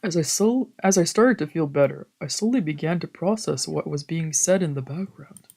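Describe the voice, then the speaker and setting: A woman's voice: deep voice, normal speed, creaky, warm tone, slightly rough. A woman VTuber streamer reading visual novel narration aloud in a dramatic storytelling style.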